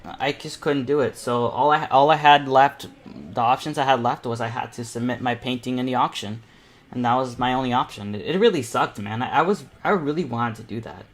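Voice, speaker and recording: A young man of eighteen speaks at a middle pitch as he hosts a painting demonstration. His delivery is informal and conversational, like a live tutorial. He tends to ramble and think out loud while he works. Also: brisk. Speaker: self-taught artist hosting a painting panel.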